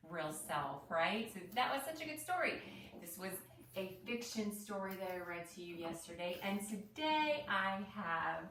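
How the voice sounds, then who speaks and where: A woman's voice: medium pitch, very animated, normal speed, a bit bright. Lady teacher doing a kids read-aloud lesson, simple encouraging explaining, bit far from mic in an echoey room. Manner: simple, encouraging explanations with frequent check-in questions. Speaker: elementary teacher. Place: indoor room with pet dogs nearby. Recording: roomy echo, distant mic, background noise.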